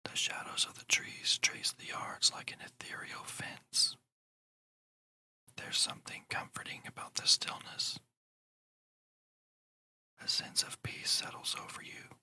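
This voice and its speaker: Whispering ASMR host, bright sibilant edge, breathy, soothing, deliberate, guided meditation narration. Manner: slow, soothing, deliberate guiding.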